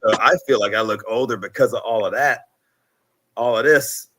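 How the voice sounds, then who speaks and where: A man in his thirties, hosting a casual podcast conversation, chatty and quick to laugh, acting out little quotes as he talks. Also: lowish voice, a bit bright, rough recording. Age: 30s. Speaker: podcast host.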